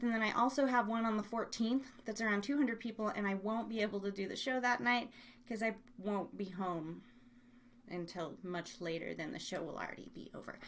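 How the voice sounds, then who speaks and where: A woman's voice: a little high, fairly bright tone. A woman hosting a live online show, chatting casually in a bubbly, playful, scattered way. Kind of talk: casual rambling livestream chat.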